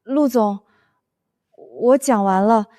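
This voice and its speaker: A young woman playing a feisty, easily flustered office worker in an animated comedy, talking in a glib, excitable, indignant way. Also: fairly high pitch, slow pace. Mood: nervous, bluffing. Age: young adult. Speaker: office planner. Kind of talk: animated comedy drama acting.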